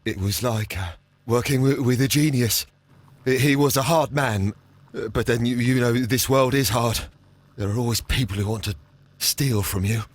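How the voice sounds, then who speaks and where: A man's voice: medium pitch, moderate pace, lightly acted. Man playing a nervous, stammering, haunted councillor. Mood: nervous, apologetic. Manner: heavy stammer, hesitant, apologetic. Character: nervous, haunted, guilt-ridden male councillor and archaeology enthusiast. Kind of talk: scripted video game acting.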